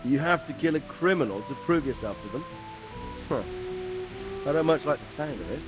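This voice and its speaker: An actor plays a middle-aged man of authority in a medieval game cutscene. He speaks formally and with consideration, like someone advising a junior. Music plays clearly behind him. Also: on the high side, expressive, a little fast, natural voice. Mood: attentive, doubtful. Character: measured, authoritative male official advising a younger man. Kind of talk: video game cutscene acting.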